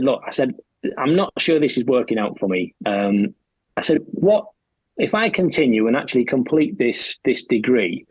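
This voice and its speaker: A man's voice: fairly high pitch, husky, dry recording, poor audio. A man in his forties, a podcast guest, telling his story with wry humour. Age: 40s. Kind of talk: casual chat.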